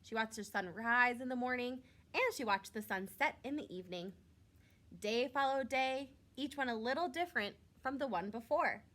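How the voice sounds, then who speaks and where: A woman's voice: a little high, normal speed, a bit bright. A woman reading a picture book aloud like a teacher to young children, expressively and with simple asides. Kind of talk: storybook read-aloud with short asides.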